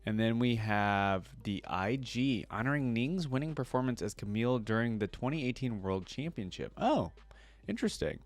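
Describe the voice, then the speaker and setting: A man's voice: mid-range voice, animated, normal speed. A man hosting a reaction video, chatting casually and thinking aloud in an informal way.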